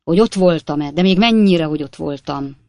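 A woman's voice: low voice, dark tone, no room sound, studio quality. A woman voicing a boastful, talkative male swindler who speaks in short, clipped fragments. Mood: bragging. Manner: clipped, breathless fragment sentences. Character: boastful chatty male swindler, young gent.

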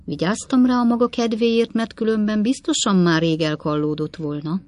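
A woman's voice: mid-range voice, steady tone, a little mellow, no echo, voice pushed up, natural voice. Woman acting coy, teasing young storybook maid. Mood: teasing scolding. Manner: coquettish, exclaiming, mock-indignant. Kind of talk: audiobook character dialogue.

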